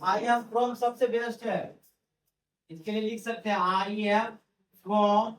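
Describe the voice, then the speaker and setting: A man teaches a classroom lesson, asking the students the same thing again and again. His voice sits high and carries through an echoey room. He sounds a bit far from the mic. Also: steady tone, slow, fairly bright tone. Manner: questions the class over and over, repeats key phrases. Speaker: English teacher. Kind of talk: classroom lecture.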